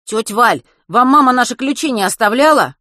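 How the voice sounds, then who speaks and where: An indignant teenage boy from an audiobook, acted by a woman, pitched high and raised in volume. He is impatient and blurts out questions and exclamations in a dry, close recording. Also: big pitch swings, measured pace, a bit bright, no echo.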